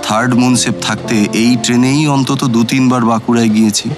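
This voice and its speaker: Man as expressive storyteller over night-time music. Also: medium pitch, fairly quick, close to an everyday voice. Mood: reflective. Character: male former munsef recalling a nighttime journey. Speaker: narrator. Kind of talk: scripted first-person audio story narration.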